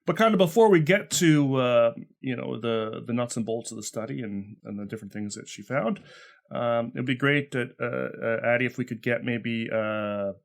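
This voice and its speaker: A man's voice: medium pitch, normal speed, vocal fry. Rambling man hosting a podcast. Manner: rambling, many you-knows and restarts. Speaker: podcast host. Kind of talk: casual interview talk.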